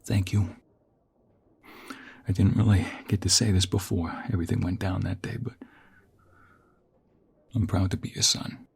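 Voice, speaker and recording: A man playing a young ex-mobster husband in an ASMR audio roleplay, earnest and protective, with halting, breathy speech. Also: low voice, flat delivery, darkish tone, full character voice. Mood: moved, hesitant. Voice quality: breathy, husky.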